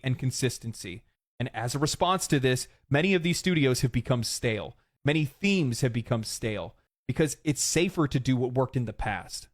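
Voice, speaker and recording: A man commenting on video games, opinionated and ranting, his voice hoarse and fading. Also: mid-range voice, dry recording, clean studio sound. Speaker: gaming commentator.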